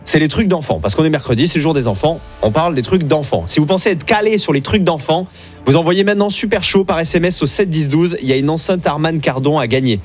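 A man's voice: a little high, faint music behind. A man hosting an evening radio game show, upbeat and chatty, talking from the studio. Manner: upbeat, chatty, quick patter.

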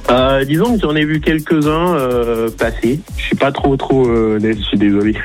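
A man's voice: medium pitch, moderate pace, darkish tone, soft background music. A man in his thirties from Togo, chatting casually as a radio caller, polite and a little hesitant. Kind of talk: casual chat.

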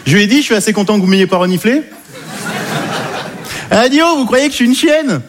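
A man performing conversational stand-up comedy to an audience, animated, with hesitant asides and tag questions. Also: high-pitched, fast, noisy background.

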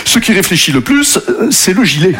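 A man's voice: high-pitched, sing-song, moderate pace, very noisy. A man doing a wry, conversational stand-up monologue peppered with tag words. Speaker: stand-up comedian.

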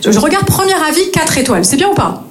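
A woman's voice: sits high, sing-song, fast. A young woman from north-east France doing a stand-up set on stage, chatty and always asking the audience questions. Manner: chatty, keeps asking the crowd questions and adding "hein". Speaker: stand-up comedian, former English teacher. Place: comedy stage before a live audience.

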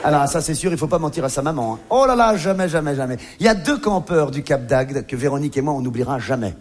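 A man's voice: fairly high pitch, animated, fast. A man performing a comic monologue, conversational and mock-earnest, often interrupting himself as he tells his story. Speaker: stand-up comedian. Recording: clear background noise.

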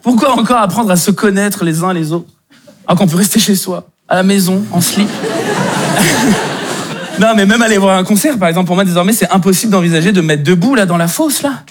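High-voiced wry stand-up comedian. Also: male voice, fairly quick. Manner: wry, self-mocking, mock-weary.

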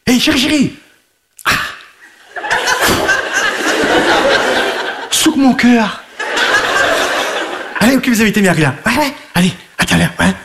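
A man's voice: very high-pitched, lively intonation, moderate pace, heavy background noise. A man playing a boastful, party-loving husband who juggles his wife and mistress in a radio comedy sketch, talking in broken French, rambling and laughing. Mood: jolly, teasing. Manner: sing-song chanting and sudden shouting.

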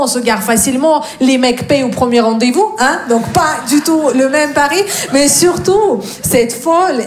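Woman, Russian accent, somewhat high pitch, stand-up comedian, cheeky, teasing, sweary. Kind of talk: stand-up comedy monologue to a live audience. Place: live comedy stage before an audience.

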